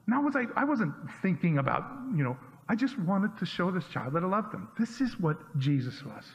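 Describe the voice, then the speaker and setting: Male pastor, slightly high voice, punchy and conversational preaching style, dull recording with a long echo. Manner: emphatic, conversational, punchy rhetorical questions. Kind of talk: sermon. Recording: dull lo-fi sound with long hall reverb.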